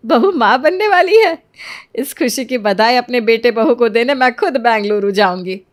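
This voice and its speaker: A woman's voice: a little high, lively intonation, moderate pace, lightly acted. A woman playing a devoted, self-sacrificing middle-aged mother in a Hindi cartoon story, speaking with earnest emotion. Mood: tearful joy.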